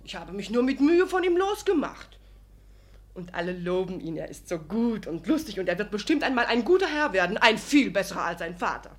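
A woman's voice: medium pitch, very animated, brisk, lightly acted. An actress playing a chatty, saucy young serf maid in a German radio drama, gushing and rambling as she talks. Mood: gushing, defiant. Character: cheeky, chatty young serf maid, loyal and fun-loving.

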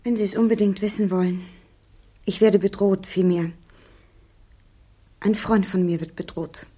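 A young woman in trouble in a radio crime drama. Her voice is dark and mellow at a middling pitch. She speaks in guarded, curt phrases. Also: fairly even intonation, unhurried, slightly rough.

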